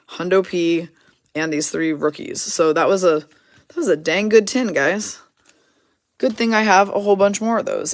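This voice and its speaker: A hoarse woman hosting a card break, casually rattling off player names and teams as she opens packs. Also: lowish voice, no echo, studio quality. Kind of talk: casual card-opening commentary.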